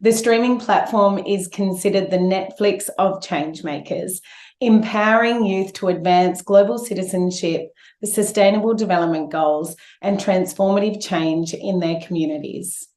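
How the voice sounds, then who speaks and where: A woman's voice: medium pitch, normal speed, a little mellow, echoey room. A woman presenting awards in a polished, upbeat scripted announcing style.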